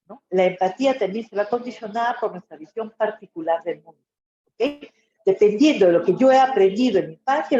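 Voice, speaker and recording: A woman's voice: mid-range voice, dark tone. A woman with a Peruvian Spanish accent giving an informal lecture in a didactic way, often checking in with short tag questions. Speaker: psychology lecturer. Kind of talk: informal webinar-style lecture. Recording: echoey room, dull muffled audio.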